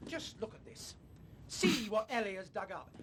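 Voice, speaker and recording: A man's voice: high-pitched, very animated, normal speed, fairly bright tone, a little hiss or hum. A man acting an outspoken, indignant TV weatherman in a screen drama, emphatic and sardonic. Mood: grave, urgent.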